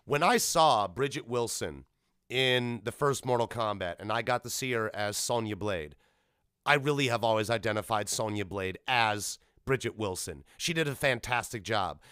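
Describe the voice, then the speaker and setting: Male podcast host, bright and punchy tone, dry sound. Casual, opinionated commentary, animated and sweary. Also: mid-range voice, clear, resonant tone, no room sound. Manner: animated, blunt, swears freely.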